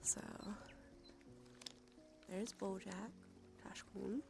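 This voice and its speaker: A woman hosting a casual ASMR livestream, chatting softly and narrating what she does, with a breathy voice that often slips into a whisper. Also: mid-range voice, extremely slow. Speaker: livestream host. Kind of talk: casual ASMR stream chat. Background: background music.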